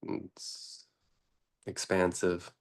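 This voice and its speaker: A man teaching meditation in an unscripted, deliberate way, pausing often between short phrases. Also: low voice, completely flat delivery, gravelly.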